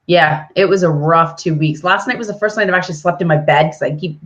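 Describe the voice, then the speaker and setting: A woman co-hosting a casual live chat, her voice husky as she gets over a chesty cough. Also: on the low side, quick pace, noisy background. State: recovering from bronchitis with a cough. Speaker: co-host of a business live show. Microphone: live video call. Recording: muffled, lo-fi video stream.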